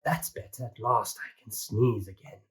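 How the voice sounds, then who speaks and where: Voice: medium pitch, steady tone, normal speed, husky. A reader voicing a fretful little old woman who keeps begging for help with her crowded house, in a pleading, sing-song storybook manner. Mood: relieved, glad.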